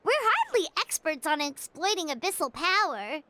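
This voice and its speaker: Tiny excitable female game companion, very high and bouncy, game score behind. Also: heavily acted. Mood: chatty. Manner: bubbly, quick to react and tease. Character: small chatty female travel companion, excitable. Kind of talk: video game dialogue. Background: game music.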